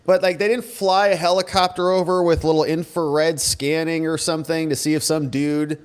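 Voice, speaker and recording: Give a man with a somewhat high-pitched voice, hosting a commentary show. He talks casually and colloquially, with a sarcastic edge as he reacts. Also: level tone, moderate pace, smooth. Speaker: host commentator. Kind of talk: casual commentary reacting to press conference clips.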